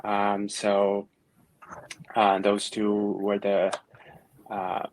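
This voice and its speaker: A man's voice: fairly low pitch, completely flat delivery, drawn-out words, slight background noise, rough recording. A young man from China answering panel questions, hesitant with many fillers. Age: young adult. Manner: hesitant, many ums and uhs. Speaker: university student panelist. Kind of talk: panel answers on video call. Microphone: video call.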